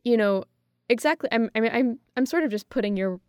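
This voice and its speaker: A woman's voice: on the high side, brisk, a little mellow, dry recording, clean studio sound. An American woman co-hosting a thoughtful conversational discussion, somewhat tentative as she feels her way to the right phrasing. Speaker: podcast co-host.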